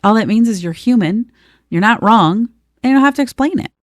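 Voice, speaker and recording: A woman's voice: on the high side, animated, brisk, no room sound, studio quality. A woman co-hosting a conversational personal-growth podcast, speaking in a reassuring, emphatic way and drawing out key words. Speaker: podcast co-host.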